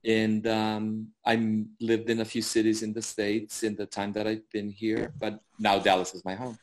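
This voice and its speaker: A very low-voiced man from El Salvador talks casually as an interview guest. He chats in a storytelling way, with plenty of fillers and easy chuckles. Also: completely flat delivery, moderate pace.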